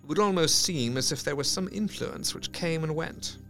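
An actor playing a studious Victorian asylum doctor dictating case notes in an audio drama, clinical, intent and measured. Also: male voice, on the high side, loud music behind the voice, lightly acted. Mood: intrigued, clinical. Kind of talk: audio drama diary narration.

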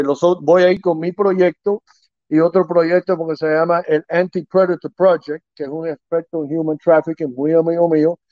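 A man's voice: on the high side, warm tone, no room sound. Middle-aged man, dry lo-fi guest line. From Quebec, Canada, raised in Miami. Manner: rambling, restarts, mixes in English words. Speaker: child rescue investigator, guest. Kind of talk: casual interview talk. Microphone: phone or call line. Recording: dull lo-fi sound.

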